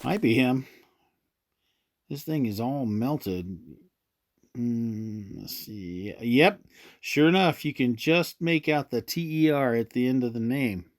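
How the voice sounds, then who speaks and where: Guy acting regular park ranger, medium pitch, easygoing n a bit halting, radio play. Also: expressive, no room sound. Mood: curious. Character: easygoing, hesitant male park ranger, haunted orphan. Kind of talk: audio drama dialogue.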